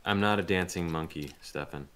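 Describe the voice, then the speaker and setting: A man with a notably deep voice speaks as a guest in an unscripted interview. He talks casually, feeling his way through answers with hesitations and rambling asides, like someone thinking aloud. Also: moderate pace, a bit bright, clear, resonant tone. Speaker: voice actor being interviewed.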